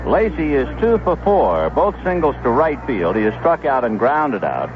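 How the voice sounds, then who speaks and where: Animated man calling baseball on noisy old radio. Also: medium pitch, normal speed. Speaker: baseball play-by-play announcer. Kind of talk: live radio play-by-play commentary. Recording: muffled, noisy 1970s AM radio broadcast.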